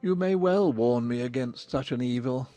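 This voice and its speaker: An actor playing a dry, sardonic middle-aged gentleman father in a dramatized audiobook, speaking deliberately. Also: medium pitch, no room sound, soft background music, lightly acted. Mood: rueful, resigned. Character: dry, self-reproaching older English gentleman father.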